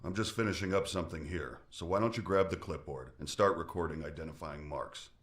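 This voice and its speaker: Deep-voiced, curt mortician boss. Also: male voice, brisk, fairly bright tone. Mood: curt, businesslike. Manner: matter-of-fact, instructing. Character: businesslike male mortician boss, calm and curt. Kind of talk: video game voice acting.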